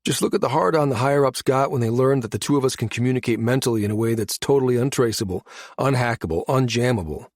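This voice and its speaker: A man's voice: medium pitch, fast talker, dry recording, studio quality, natural voice. A man narrating an audiobook, voicing a weary, guarded male starship pilot who speaks plainly. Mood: weary confession. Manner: exhausted, candid, plain-spoken. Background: music behind the voice.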